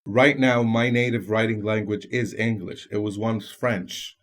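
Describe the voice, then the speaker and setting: A man from Lebanon whose first language is Lebanese Arabic, speaking as a podcast guest in a thoughtful way with pauses and restarts. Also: lowish voice, fairly even intonation. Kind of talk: casual conversation.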